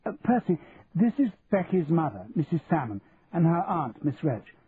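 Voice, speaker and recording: Guy doing silly posh english gent for an audiobook, plummy n spluttery, kinda high, tinny hissy audio. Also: big pitch swings, normal speed. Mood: jovial. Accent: upper-class English. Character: genial upper-class English gentleman, hesitant, deferential silly toff. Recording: muffled narrow-band audio with hiss.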